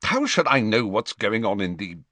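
A man's voice: on the low side, big pitch swings, moderate pace, bright, forceful tone, no echo, exaggerated voice. A man voicing a harassed, exasperated male prime minister who splutters and stammers, in an audiobook. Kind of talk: audiobook character voice.

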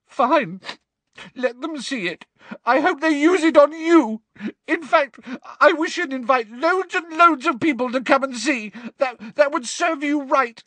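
A woman's voice: high voice, a little slow, a bit bright, no echo, pitched above the performer's own voice. A woman voicing a sobbing, stammering schoolgirl. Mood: tearful stammer. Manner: stammering, tearful. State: crying.

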